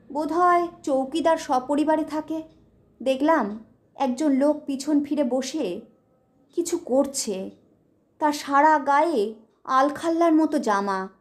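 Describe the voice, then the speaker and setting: A woman narrating a scripted ghost story in a measured, deliberate, dramatic way. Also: high-pitched.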